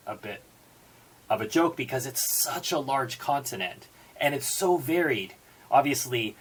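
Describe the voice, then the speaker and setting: Slightly husky man reviewing books. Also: mid-range voice. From born in Singapore, United States citizen. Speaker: book reviewer. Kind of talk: book review talk with read-out quotations.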